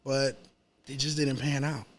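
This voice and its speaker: A man in his thirties speaks with a New York accent at an average pitch. His talk is casual and slangy, like a rapper answering questions in a relaxed conversational interview, with plenty of little check-in phrases. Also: measured pace. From Chelsea, Manhattan, New York, USA. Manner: slangy, frequent "you know".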